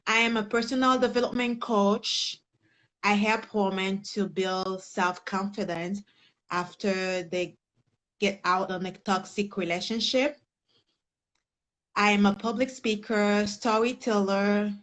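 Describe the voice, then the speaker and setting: A woman's voice: mid-range voice, fairly even intonation, measured pace, fairly bright tone. A young adult woman from Haiti with a Haitian accent, speaking earnestly as an interview guest in a conversational chat. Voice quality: non-native English grammar, earnest. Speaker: guest, personal development coach.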